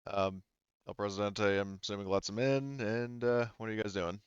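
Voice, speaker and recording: Low, smooth-voiced game master, slightly muffled. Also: male voice, level tone, normal speed, dry recording. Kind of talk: casual tabletop game narration.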